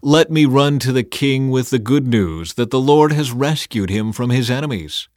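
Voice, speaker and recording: A man's voice: medium pitch, expressive, moderate pace, darkish tone, no room sound, studio quality, close to an everyday voice. A man voicing an eager, loyal young messenger, earnest and emphatic, in a scripture audiobook. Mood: pleading.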